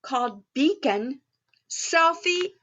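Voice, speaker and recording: A woman's voice: high voice, lively intonation, slow, bright, forceful tone, highs cut off, slight background noise. A woman reading a children's story in a sing-song, child-directed way, chatting to young listeners in the role of Mrs. Claus. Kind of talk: children's story time read-aloud with asides.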